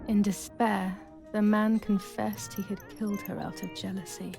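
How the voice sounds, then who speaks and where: A woman playing a troubled, brooding young woman in a wartime psychological game, narrating in a measured, dramatic, introspective way. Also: medium pitch, little pitch movement, creaky, over music, close to an everyday voice. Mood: somber storytelling. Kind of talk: scripted first-person game narration.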